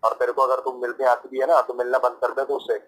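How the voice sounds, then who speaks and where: A man's voice: mid-range voice, level tone, quick pace, a bit bright. Confrontational North Indian man on speakerphone. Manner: confrontational, scolding. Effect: phone call on speaker. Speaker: prank call recipient. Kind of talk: heated phone argument.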